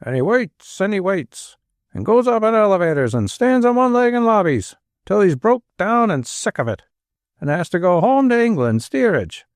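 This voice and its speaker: A man's voice: on the high side, sing-song, moderate pace, creaky, hardly any pauses, fairly bright tone, no room sound, studio quality, voice pushed up, exaggerated voice. A man voicing an angry, bitter father who bellows and rants in a broad Manchester accent.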